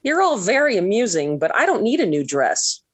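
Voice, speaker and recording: An actress playing a dreamy, melodramatic, lovesick American teenage daughter in a 1940s radio sitcom, gushing and theatrical. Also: female voice, medium pitch, sing-song, normal speed, breathy edge. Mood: haughty. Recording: vintage 1940s radio recording.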